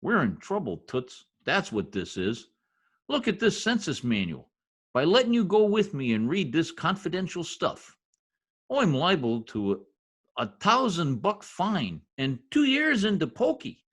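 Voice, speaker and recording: A man acting a blustery middle-aged husband from an old-time radio comedy. His voice is husky and rather high, swinging widely as he exclaims in folksy slang. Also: huge pitch swings, unhurried, a bit bright, full character voice. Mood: flustered.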